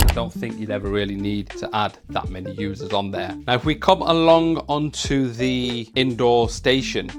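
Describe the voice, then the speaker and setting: A man giving a casual hands-on product review, chatty, restarting his sentences as he demonstrates equipment. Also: medium pitch. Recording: clear background music under voice.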